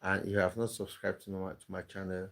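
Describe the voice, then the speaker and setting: A man's voice: low-pitched, flat delivery, fairly quick, gravelly, a bit bright. Chatty man hosting a late live stream. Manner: chatty, laughing, answers viewer comments one by one. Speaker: live-stream host reading chat. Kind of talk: casual live-stream chat.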